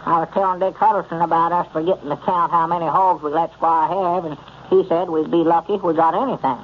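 A man playing a slow, folksy rural storekeeper with a drawling country way of talking, in a 1930s radio comedy. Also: fairly high pitch, fairly even intonation, slight background noise, natural voice. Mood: puzzled. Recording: muffled old radio transcription.

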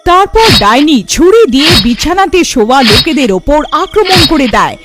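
A woman's voice: sits high, big pitch swings, moderate pace, over loud music. A woman narrator delivering scripted storytelling for a horror cartoon.

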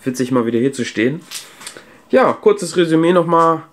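A man's voice: on the high side, lively intonation, normal speed. A man giving casual, off-the-cuff vlog commentary as a sound technician, in informal colloquial speech. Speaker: video host and PA sound technician. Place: indoor venue rooms and cellar. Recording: background hum and room noise.

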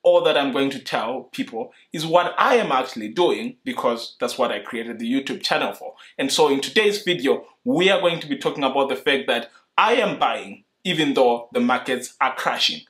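A young man from Zimbabwe, explaining his investing approach to camera in an earnest, measured way, seated indoors. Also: mid-range voice, animated, fairly bright tone. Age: young adult. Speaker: investing YouTuber. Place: indoor room in front of a leather sofa.

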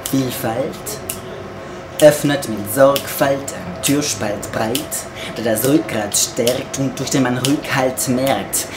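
Guy doing spoken word, really slow and deliberate, echoey room w music behind. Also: medium pitch, background noise. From France. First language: French. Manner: deliberate, theatrical pauses. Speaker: author and spoken word artist presenting own book. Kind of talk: poetic spoken word book trailer monologue. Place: small home kitchen, indoors. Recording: roomy echo, music behind.

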